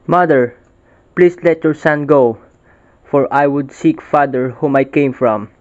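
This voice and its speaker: A man's voice: fairly high pitch, measured pace, a little mellow, natural voice. A man playing a bold, proud young epic hero and devoted son in readers' theater, speaking formally. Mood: earnest, resolute. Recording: dull lo-fi recording.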